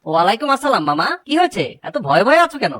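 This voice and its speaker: A teasing cartoon grown-up acted by a woman, chatty and colloquial. The talk runs fast at a middling pitch, on a noticeably noisy track. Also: very animated, lightly acted. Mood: teasing, explaining. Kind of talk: cartoon dialogue.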